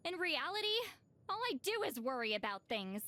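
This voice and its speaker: A woman's voice: high voice, big pitch swings, normal speed, pushed and bright, full character voice. A woman voicing a reclusive video game shaman, dry and weary yet easily flustered and defensive. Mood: gloomy. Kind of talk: video game character voice lines.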